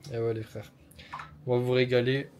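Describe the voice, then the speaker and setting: A man's voice: medium pitch, flat delivery, moderate pace, a little mellow, a little hiss or hum. A young man, chatting on a travel vlog, playful and slangy, laughing often and teasing a friend. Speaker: travel vlogger. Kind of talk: casual vlog chat, teasing his friend. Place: streets and hotel in a city.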